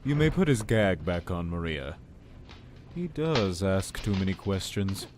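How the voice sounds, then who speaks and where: Man as soft-spoken cult lord, deep, unhurried but richly inflected, pious, measured, audio drama. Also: sing-song, background noise. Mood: calm authority.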